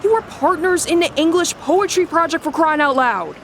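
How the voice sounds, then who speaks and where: A woman's voice: extremely high pitch, expressive, moderate pace, a bit bright, a little hiss or hum, heavily acted. A woman playing a chunky, wisecracking middle school boy in a family audio drama, breezy and teasing. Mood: matter-of-fact.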